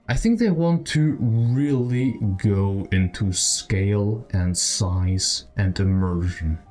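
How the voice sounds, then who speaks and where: Male video essay host, warm dark tone, conversational and speculative, soft music bed underneath. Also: mid-range voice. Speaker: video creator, channel host. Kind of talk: video essay commentary on video games. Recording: music behind the voice. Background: background music.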